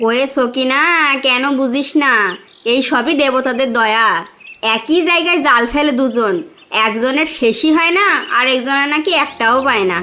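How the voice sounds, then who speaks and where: A woman's voice: high-pitched, normal speed, pushed and bright. A woman playing a showy, well-off neighbour wife in a cartoon, boastful and slyly coaxing. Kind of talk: cartoon dialogue.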